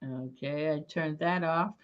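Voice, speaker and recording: Slow, low-voiced woman, calm host. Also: clean tone. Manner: slow, calm, soothing delivery. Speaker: paranormal investigator host. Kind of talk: live-stream ghost hunt chat. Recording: dull lo-fi stream audio.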